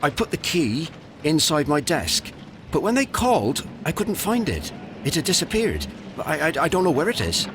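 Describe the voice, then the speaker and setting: A man playing an anxious, deferential English bathhouse attendant in a Victorian video game, speaking with nervous, halting politeness. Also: fairly high pitch, huge pitch swings, background noise. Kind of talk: video game cutscene acting.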